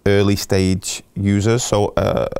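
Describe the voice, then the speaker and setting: A middle-aged man telling stories of his career in a casual interview, animated and acting out the dialogue he quotes, with frequent restarts. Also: fairly low pitch, takes it slowly, gravelly, smooth. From England. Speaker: guest.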